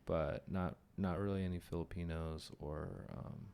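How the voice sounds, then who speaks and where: A man's voice: sits low, steady tone, unhurried, vocal fry, a little mellow, smooth. A young American man, a podcast host chatting casually and musing aloud, often trailing off mid-thought. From United States. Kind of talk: casual conversation.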